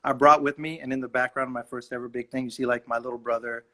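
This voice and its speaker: Animated young man with a bright voice. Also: mid-range voice, fast. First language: Urdu. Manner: animated, acts out voices in his anecdotes. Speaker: actor, guest. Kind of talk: casual storytelling in an interview.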